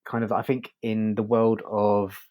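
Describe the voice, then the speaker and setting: A man co-hosting a podcast, discussing casually in a halting, conversational way. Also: lowish voice, flat delivery, no room sound, highs cut off. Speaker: podcast co-host.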